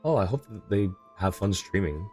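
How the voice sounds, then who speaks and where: A man with a deep voice chats casually as a game streamer. He comments on the game and talks to viewers, with faint game music in the background. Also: lively intonation, moderate pace, a little mellow. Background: video game music.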